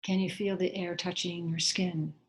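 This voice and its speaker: A woman with a slightly low voice guides a meditation. She speaks gently and slowly in short, soothing phrases. Long pauses separate her instructions. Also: level tone.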